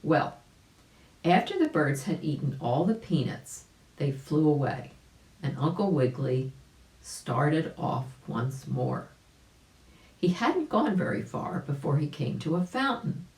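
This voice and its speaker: A woman's voice: sits low, big pitch swings, slow pace, darkish tone. A woman narrating a children's story, explaining things and speaking directly to young listeners. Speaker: volunteer storybook reader. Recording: echoey room.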